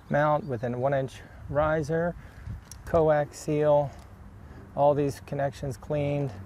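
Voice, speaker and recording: A man's voice: on the high side, darkish tone, slight background noise. A man giving a casual, plainspoken hands-on how-to narration as he works on a project. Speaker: DIY video creator.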